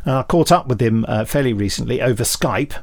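A man's voice: medium pitch, lively intonation, fairly quick, a little mellow, no room sound. A man co-hosting a podcast with casual news chat and rambling, hesitant asides. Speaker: podcast co-host, script editor and director.

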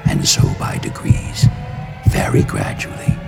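A man giving a slow, brooding dramatic reading of gothic tales in an audiobook trailer. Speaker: audiobook reader. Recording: trailer with music bed.

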